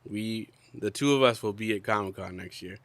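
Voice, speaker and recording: Young man, low voice, congested and coughing, relaxed podcast co-host. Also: normal speed, no room sound. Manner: chatty, rambling with many fillers and laughs. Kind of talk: casual chat. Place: outdoors.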